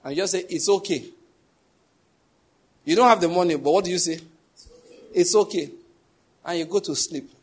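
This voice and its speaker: A young adult man preaching, his voice pitched a little high with a husky edge. He presses each point hard, repeats key phrases and keeps throwing questions back at the congregation. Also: normal speed, pauses often. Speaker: pastor. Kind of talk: sermon.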